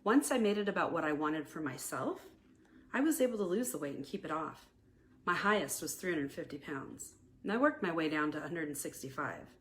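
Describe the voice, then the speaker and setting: A woman's voice: low voice, animated, fairly quick, slight background noise. A woman reading the lines of a confident married man who confides frankly on a first date in a romantic audiobook. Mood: candid, confiding.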